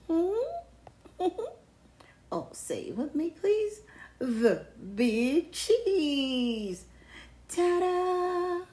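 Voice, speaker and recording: A self-admiring big cheese from a storybook, acted out by a woman, hungry for the spotlight. The delivery is pompous and theatrical, slow and savoring, with pitch that swoops widely in a roomy, echoing space. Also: warm tone, full character voice. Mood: gloating. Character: boastful, showy big cheese craving the spotlight. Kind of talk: dramatic picture-book read-aloud.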